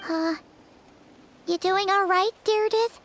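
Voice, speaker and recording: A woman voicing a tiny, excitable, chatty fairy companion with a squeaky childlike voice in a video game. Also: slow, slight background noise, exaggerated voice. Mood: concerned. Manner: refers to self in third person, expressive. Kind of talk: video game character acting. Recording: loud music behind. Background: loud game music.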